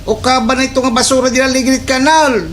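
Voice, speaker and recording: A woman's voice: sits high, steady tone, quick pace, natural voice. A woman voicing a cheeky young son in a slapstick radio comedy, with a Waray accent. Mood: teasing. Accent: Waray, Eastern Visayas Philippines.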